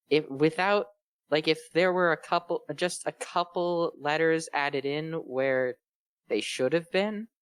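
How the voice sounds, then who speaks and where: A man chatting casually in a fan fiction reading group, making deadpan jokes. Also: on the high side, clear, resonant tone, no room sound. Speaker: fan fiction podcast reader. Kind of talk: casual joking discussion.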